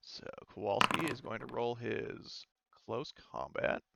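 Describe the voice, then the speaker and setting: A man running a tabletop roleplaying game as game master, talking casually and haltingly as he works out the rules aloud. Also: mid-range voice, sing-song, vocal fry, lo-fi sound, heavy background noise. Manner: halting, thinking aloud while working out rules.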